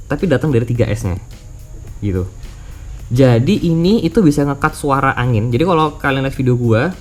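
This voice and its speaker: A man with a Jakarta Indonesian accent giving a casual product review as a vlogger, informally mixing English words into slangy speech. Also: medium pitch. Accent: Indonesian, Jakarta colloquial. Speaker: motovlogger presenting a product review.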